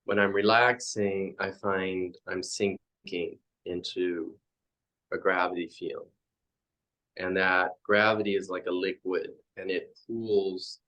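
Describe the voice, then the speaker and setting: A man leading a guided meditation as a teacher, speaking in halting, reflective phrases with frequent pauses. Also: deep voice, a bit bright, rough recording.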